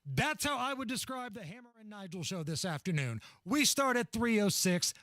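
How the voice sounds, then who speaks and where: A man's voice: high-pitched, very animated, normal speed, bright, forceful tone, no room sound, clean studio sound. A man who hosts a radio show, delivering an upbeat promo.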